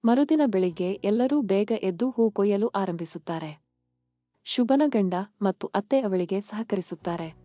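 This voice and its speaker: A woman's voice: medium pitch, animated, moderate pace, warm tone, dry recording. Slightly muffled woman narrating a children's story. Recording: muffled lo-fi sound. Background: faint music.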